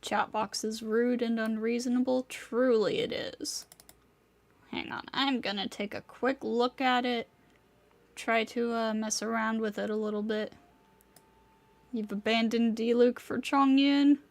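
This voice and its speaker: A woman streaming a game speaks in a high voice at a slow, easy pace. She greets viewers by name and talks to her broken software in mock pleading. Her talk is casual and unhurried. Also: fairly even intonation, creaky. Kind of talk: casual live-stream chat.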